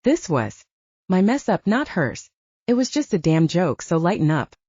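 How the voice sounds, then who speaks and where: A woman's voice: on the low side, big pitch swings, moderate pace, mellow, husky, dry recording, lo-fi sound. Woman voicing a brash, defiant werewolf soldier guy. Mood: angry. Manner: brash, profane. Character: hot-headed, defiant male werewolf soldier.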